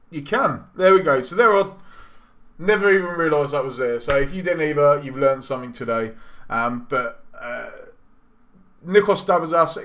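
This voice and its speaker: A man giving casual, rambling commentary over a football management game, his voice going a little hoarse. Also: fairly high pitch, a bit bright. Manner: chatty, rambling, with frequent ums and self-corrections. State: losing his voice. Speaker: gaming YouTuber.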